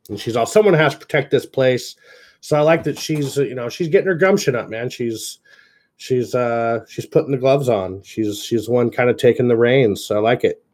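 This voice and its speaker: A man's voice: mid-range voice, darkish tone. A man reading a story draft aloud and giving enthusiastic, chatty feedback, full of fillers. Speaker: editor reviewing a story draft. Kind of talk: casual chat while reading aloud.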